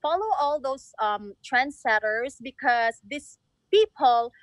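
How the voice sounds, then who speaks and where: A woman's voice: sits high, expressive, takes it slowly, no room sound, rough recording. A woman from the Philippines with a Filipino accent, talking casually and earnestly as an interview guest, often saying you know. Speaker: guest, creative designer.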